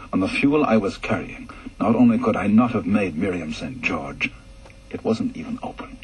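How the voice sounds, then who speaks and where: A man reading a first-person story aloud for radio, with a measured, earnest delivery and a very husky voice. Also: lowish voice, a little mellow, rough recording.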